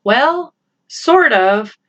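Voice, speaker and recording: An earnest schoolboy from a storybook, voiced by a woman reader. He speaks in a measured, emphatic way, hopeful and a bit guarded. The sound is slightly muffled. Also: on the high side, lively intonation, fairly bright tone, pitched above the performer's own voice, full character voice. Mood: hopeful, hesitant. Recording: slightly dull, lo-fi home recording.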